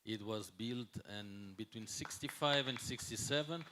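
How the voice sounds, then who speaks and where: A man in his sixties, a non-native English speaker, gives an unscripted conference talk as a hotel executive, often pausing and repeating words as he searches for the next one. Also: medium pitch, pushed and bright, a little hiss or hum. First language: not English. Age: 60s.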